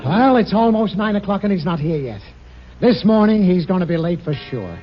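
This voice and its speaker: An actor playing a grumpy, threatening office boss with blustery, deliberate delivery in a 1950s radio sitcom. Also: male voice, high-pitched, big pitch swings, faint music behind. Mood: impatient, grumbling. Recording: muffled old radio recording.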